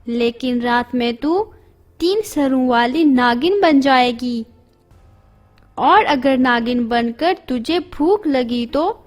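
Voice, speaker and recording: A woman voicing a stern magical female serpent who guards her hatchlings, speaking slowly in scolding, solemn pronouncements. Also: sits high.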